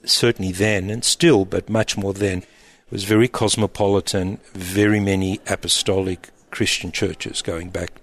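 Middle-aged aussie-abbot, lowish voice, thinks out loud and restarts a lot. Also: male voice, steady tone. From Egypt, raised in Australia. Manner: thoughtful, halting, with restarts. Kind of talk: reflective interview answers.

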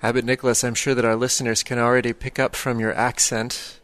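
A man, a priest, hosting an interview with measured, courteous questions. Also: mid-range voice, little pitch movement, fairly bright tone.

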